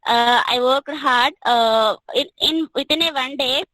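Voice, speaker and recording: Halting young woman, bright. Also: high voice, dry recording, rough recording. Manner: hesitant, many fillers and restarts. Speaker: student speaker. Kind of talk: speech about mock interview experience.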